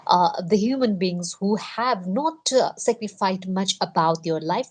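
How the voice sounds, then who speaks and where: A woman who is not a native English speaker gives a solo motivational talk on a livestream, halting with many fillers and lifting her pitch at each new sentence. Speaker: livestream host.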